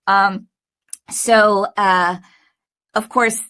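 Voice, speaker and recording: A woman with a medium-pitched voice talks as a coach to aspiring performers. Her teaching is informal and emphatic. She leans on key words with deliberate pauses and drops in conversational asides. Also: steady tone, sharp tone. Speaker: voice acting coach. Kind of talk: informal instructional talk.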